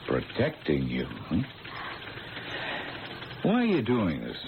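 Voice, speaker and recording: Deep-voiced, wry veteran police sergeant. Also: male voice, wildly swooping pitch, vocal fry, exaggerated voice. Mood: patronizing, skeptical. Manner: patronizing, folksy, drawn-out emphasis. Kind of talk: old-time radio drama acting. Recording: tinny, noisy old radio broadcast.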